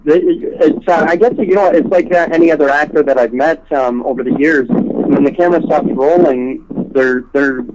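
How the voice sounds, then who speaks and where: Earnest man, halting phone interview delivery. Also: fairly high pitch, background noise. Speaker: interview guest, homelessness awareness activist. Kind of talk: telephone interview, spontaneous advocacy talk. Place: on a telephone call. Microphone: telephone.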